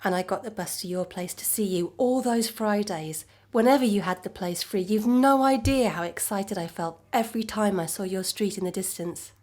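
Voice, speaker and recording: Female actor, intimate radio play: loving, steadfast young girlfriend, medium pitch, understated and conversational, gentle delivery. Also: normal speed, hardly any pauses, lightly acted. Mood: nostalgic. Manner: soft, conversational, reassuring. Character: Chloe, devoted, forgiving young girlfriend, gently resolute. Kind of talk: intimate naturalistic audio drama acting.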